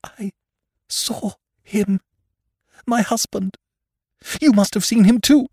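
Frantic widow, played by a male narrator, high-pitched, gasping, mystery audiobook melodrama. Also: slow, warm tone, husky, dry recording, studio quality, heavily acted. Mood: frantic insistence. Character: terrified, hysterical widowed woman with a guilty secret. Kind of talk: dramatised audiobook reading of a mystery story.

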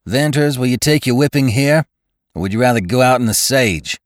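A man voicing a stern, fanatical male church elder who gives orders in a raised, forceful voice. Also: mid-range voice, brisk, dry recording, clean studio sound, voice pushed up, close to an everyday voice. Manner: raised, forceful, commanding delivery.

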